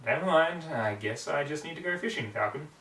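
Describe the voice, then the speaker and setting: A man voicing a brash, cocky young female pegasus pony from a story, hammy, blunt and excitable, in a roomy, distant recording. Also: a little high, fairly quick. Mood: puzzled. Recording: roomy echo, distant microphone.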